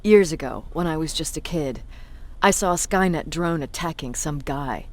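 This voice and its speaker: A woman's voice: deep voice, moderate pace, close to an everyday voice. A woman playing a battle-hardened resistance commander in a video game, with a blunt, authoritative manner. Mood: wry, reminiscing.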